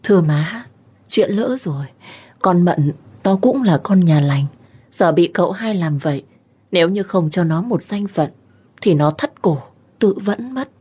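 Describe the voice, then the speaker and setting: Guy doing a shrill scheming young wife, high falsetto, all fake worry, kinda husky. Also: animated, unhurried, darkish tone, heavily acted. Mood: coaxing. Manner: shrill, theatrically fake concern. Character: scheming young woman, sly and falsely sweet. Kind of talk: storybook reading.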